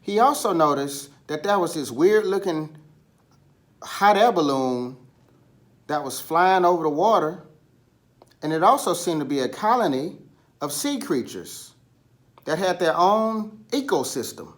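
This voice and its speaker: A man telling a children's story in an animated style, with dramatic pauses and drawn-out, emphatic words. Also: fairly high pitch. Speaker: storybook reader.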